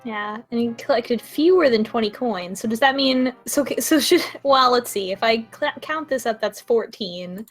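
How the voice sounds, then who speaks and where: A woman's voice: fairly high pitch, normal speed, hardly any pauses, soft background music. A woman co-hosting a stream in casual chat, often thinking aloud as she goes. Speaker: stream co-host.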